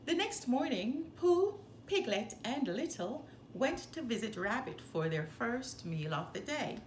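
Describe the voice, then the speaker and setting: A woman reading a children's story aloud with expressive, animated, deliberate phrasing. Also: fairly high pitch. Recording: roomy echo, faint background noise.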